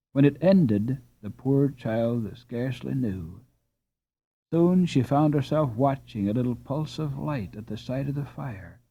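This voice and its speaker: Male audiobook narrator, very slow measured read, dull lo-fi recording. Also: medium pitch, husky. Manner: measured, unhurried reading. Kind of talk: scripted storybook narration.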